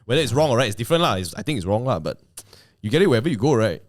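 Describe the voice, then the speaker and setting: A man's voice: mid-range voice, sing-song, racing, dry recording, studio quality. A man from Malaysia with a Malaysian English accent, telling stories in a casual podcast chat. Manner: chatty storyteller, tags sentences with "lah" and "man". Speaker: podcast co-host.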